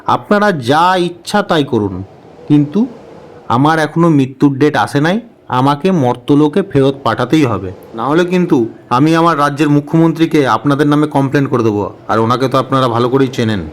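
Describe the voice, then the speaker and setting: A man's voice: fairly high pitch, brisk, lightly acted. A man as an indignant, insistent everyman. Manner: insistent, protesting. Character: indignant, brash ordinary village husband wrongly taken as dead. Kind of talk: comic cartoon voice acting.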